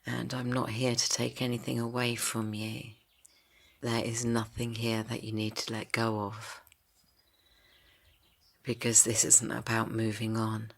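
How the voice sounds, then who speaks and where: A man guiding a yoga nidra rest for grief, speaking slowly and softly, drifting into whispers. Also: on the low side, completely flat delivery, vocal fry. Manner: slow, soft and soothing, drifting into whispers. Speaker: meditation guide. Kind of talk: guided yoga nidra meditation.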